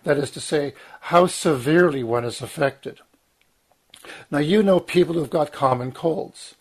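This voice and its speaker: A man in his sixties, croaky from medication, giving an earnest, emphatic talk with frequent ums, restarts and throat-clearing. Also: a little high, unhurried. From Lower Mainland, Canada. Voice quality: husky, clears throat often. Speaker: guest speaker, former journalist. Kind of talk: long spoken commentary, guest talk.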